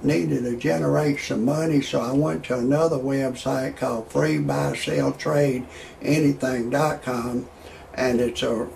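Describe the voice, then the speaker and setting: Rambling sixties man, gravelly voice. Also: mid-range voice, darkish tone, a little hiss or hum. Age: 60s. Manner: rambling, halting, with frequent "uh" fillers and restarts. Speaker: amateur online show host. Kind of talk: rambling monologue with stand-up jokes.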